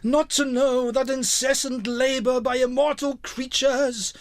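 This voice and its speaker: A tormented ghost in a radio drama, grave and mournful. The voice is high and unhurried, declaiming in drawn-out phrases. It is recorded dry and close. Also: male voice, flat delivery, no room sound. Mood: anguished, wailing. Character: mournful, solemn, tormented ghost of a dead businessman. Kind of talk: radio play acting.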